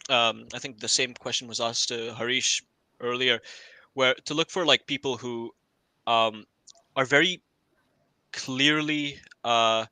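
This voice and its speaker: A man's voice: medium pitch, fairly even intonation, measured pace, long pauses, sharp tone, dry recording. A young adult man, a college student on a podcast panel, answering hesitantly with many fillers and restarts.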